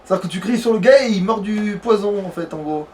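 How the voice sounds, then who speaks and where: A man with a high voice chats offhandedly as he plays a game. His talk is blunt and slangy. He is picked up from a distance, with faint noise behind him. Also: fast talker, darkish tone. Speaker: player in a let's play. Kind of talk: casual, slangy gaming chat.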